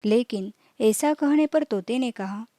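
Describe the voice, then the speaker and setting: A woman storyteller with a fairly high but mellow voice reads a scripted children's tale. She phrases it clearly and takes it very slowly, recorded close and dry. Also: dry recording.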